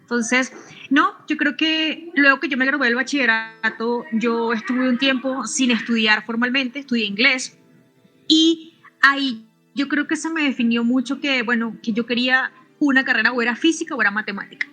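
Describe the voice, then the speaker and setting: A middle-aged woman from Venezuela, a physicist answering interview questions in a halting way, often restarting and adding tag questions. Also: high-pitched, bright, forceful tone, soft background music, poor audio. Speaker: physicist and researcher, interview guest.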